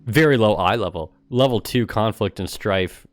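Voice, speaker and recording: A man's voice: fairly low pitch, moderate pace, faint music behind. A man doing casual, mocking livestream commentary, sometimes reading aloud in a sarcastic way. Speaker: streamer and gamer.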